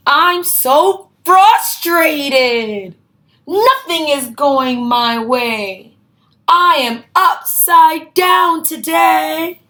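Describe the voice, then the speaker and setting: A woman voicing a turtle in a children's storybook, with exaggerated, drawn-out words. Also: sits high, expressive, bright, forceful tone, pitched above the performer's own voice, heavily acted. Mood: frustrated.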